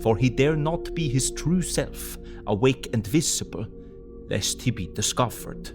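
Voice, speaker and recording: Grave, emphatic old professor. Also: male voice, mid-range voice, lively intonation, over music. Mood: grave, explaining. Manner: deliberate, emphatic, quaint non-native phrasing. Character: grave, learned older foreign professor, vampire hunter, resolute. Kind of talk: audio drama acting.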